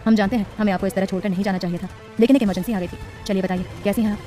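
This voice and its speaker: A woman's voice: mid-range voice, racing, soft background music, lightly acted. A woman voicing a stern, possessive young husband who speaks in a formal, commanding way. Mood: tender. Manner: formal, clipped, authoritative.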